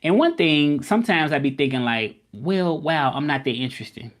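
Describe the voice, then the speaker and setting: A man's voice: a little high, expressive, moderate pace. A man hosting a casual live stream, chatting loosely with viewers and often repeating himself. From Memphis, United States. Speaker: livestream host.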